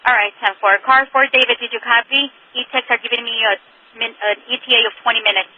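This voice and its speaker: An American woman working as a police dispatcher over two-way radio, briskly and procedurally relaying unit numbers and codes. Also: a little high, steady tone. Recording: tinny, very noisy police radio channel.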